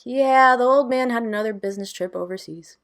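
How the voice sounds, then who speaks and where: A woman's voice: fairly high pitch, brisk, close to an everyday voice. A woman playing a brash, sarcastic, carefree young party lover in an audio drama, with casual, salty, sardonic delivery. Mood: breezy, playful.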